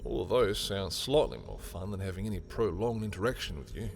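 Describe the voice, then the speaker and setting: A man's voice: deep voice, brisk, gravelly, a little hiss or hum. A man playing a sardonic, petty villain in a scripted audio roleplay, talking in theatrical, sarcastic taunts. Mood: dry sarcasm. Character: sardonic, petty male villain, grudgingly familiar with rival.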